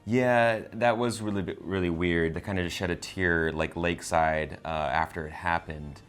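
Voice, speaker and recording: A man co-hosting a casual movie discussion, talking in a halting, rambling way and often repeating words. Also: deep voice, steady tone, a bit bright, clean tone. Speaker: entertainment show co-host reviewing a film.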